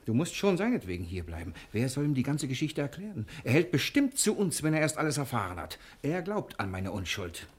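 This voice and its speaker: An actor playing a confident, quick-witted fugitive husband in a 1960 German radio drama, soothing and persuasive in manner. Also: male voice, mid-range voice, big pitch swings, husky. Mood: persuasive, urgent.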